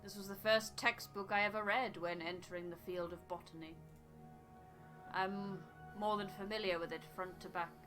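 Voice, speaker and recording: A woman voicing a scholarly, aloof male botanist from a video game, with precise, formal, measured phrasing. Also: mid-range voice, steady tone, fairly bright tone, faint music behind, lightly acted. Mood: formal, musing. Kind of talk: voiced reading of video game dialogue.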